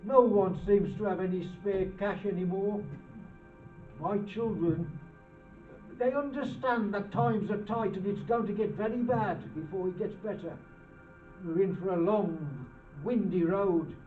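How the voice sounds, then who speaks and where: A person giving a dramatic, deliberate and emphatic reading of a personal testimony at a charity show. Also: mid-range voice. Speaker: reader in a charity poverty show. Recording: distant, echoey, phone-like sound with music.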